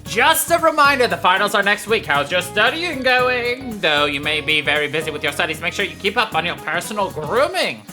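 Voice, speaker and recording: A woman puts on a theatrical school teacher from a video game, droll and chatty. The delivery swings widely in pitch over background music. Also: sing-song, a little fast, hardly any pauses, sharp tone. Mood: brisk, advising. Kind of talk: reading video game dialogue.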